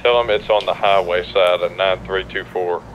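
A man's voice: low voice, steady tone, normal speed, muffled recording, slight background noise. A man giving brief, casual radio-style callouts as a teammate in a roleplay game.

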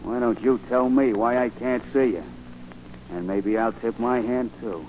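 An actor playing a jumpy, shady small-time crook with rough, slangy speech, in 1940s radio drama style. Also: male voice, medium pitch, steady tone, measured pace, a little mellow, lightly acted. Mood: cagey, sly. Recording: muffled, lightly noisy 1940 radio recording.

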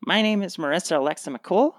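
A woman's voice: lowish voice, quick pace, no room sound, studio quality. A woman podcaster and writer chatting casually as an interview guest.

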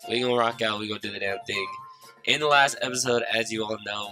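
A young man with a lowish voice, a little congested and nasal from a cold, chats loosely and swears casually while he plays. His talk is direct and joking, aimed at his viewers. Game music plays clearly underneath. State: slightly stuffed up and nasal from a cold. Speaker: gaming YouTuber. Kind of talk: casual Let's Play commentary. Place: small room lit by a side window.

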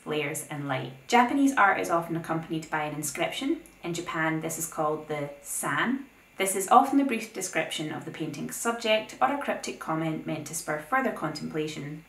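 A young woman hosting an art video, reading a scripted essay with opinionated, lively asides. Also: lowish voice, roomy sound, rough recording. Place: plain indoor room.

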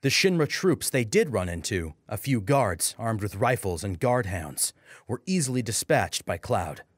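A man's voice: lowish voice, very animated, a little slow, slightly rough, no room sound, studio quality. A man narrating a scripted dramatic story as an audiobook.

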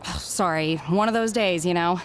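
A woman playing a harried middle-aged recruiter in a video game cutscene, brisk, distracted and businesslike. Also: lowish voice, slight background noise, close to an everyday voice. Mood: upbeat, encouraging. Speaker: head recruiter.

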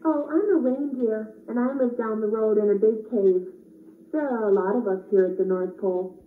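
A shy and friendly reindeer, played by a woman talks politely in a slightly high voice. The puppet-show recording is distant and echoey. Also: moderate pace, close to an everyday voice. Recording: echoey, tinny, distant camera.

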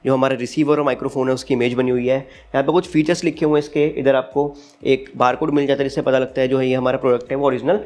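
A man's voice: medium pitch, level tone, fast, hardly any pauses, a little mellow. A man with an Indian accent giving a casual, step-by-step unboxing review as the channel's host. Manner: explanatory, step-by-step.

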